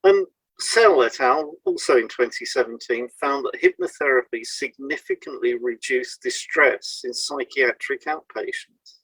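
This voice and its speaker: A man presents scripted educational material in a measured, explanatory manner. His mid-pitched voice is a little husky, and the recording is close and dry, with no room sound. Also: fairly bright tone, dry recording, low-quality recording. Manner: measured, explanatory, lists items one by one. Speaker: podcast presenter and hypnotherapist.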